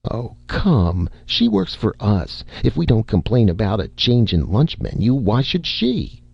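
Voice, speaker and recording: A man reading an audiobook, voicing a calm, amused middle-aged industrialist. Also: on the low side, measured pace, creaky, breathy edge, dry recording, highs cut off, pitched above the performer's own voice, heavily acted. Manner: calm, easygoing.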